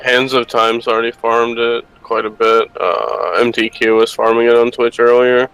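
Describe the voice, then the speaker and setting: A man's voice: mid-range voice, steady tone, normal speed, creaky, a bit bright, clean tone, dry recording, rough recording. A man chatting casually with friends on a gaming voice chat. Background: game music.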